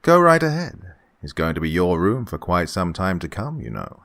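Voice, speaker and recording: A man's voice: low-pitched, sing-song, normal speed, vocal fry, a bit bright, full character voice. A man playing a falsely genial, sinister employer in a radio suspense drama, over-courteous, unctuous and insistent. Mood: insistent, coaxing. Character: falsely genial, sinister male employer luring a young woman. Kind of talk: old-time radio suspense drama acting.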